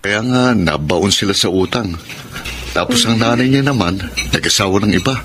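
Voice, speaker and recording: A man's voice: on the low side, lively intonation, normal speed, background noise, lightly acted. A man playing a brooding, envious young husband in a radio drama, grumbling plaintively with a Filipino accent. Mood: gossipy.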